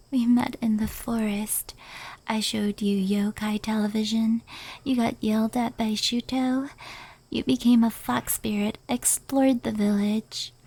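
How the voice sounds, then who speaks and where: Slow, whispery woman as a proud fox spirit. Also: fairly high pitch. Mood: tender, reflective. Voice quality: soft, breathy, whispered. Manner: unhurried, hushed, intimate. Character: proud, powerful female fox yokai, fond confidante of a human. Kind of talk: whispery ASMR roleplay.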